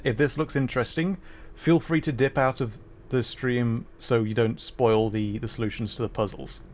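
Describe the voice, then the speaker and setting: A man's voice: mid-range voice, a little hiss or hum. A man livestreaming a video game, chatting casually to viewers and rambling as he thinks aloud while playing.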